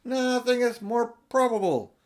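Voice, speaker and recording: A man voicing a learned old professor in a storybook, deliberate, pedantic and emphatic. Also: very high-pitched, pitched above the performer's own voice. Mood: sharp, pointed. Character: learned old male professor, musing and sharp. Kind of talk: storybook character reading.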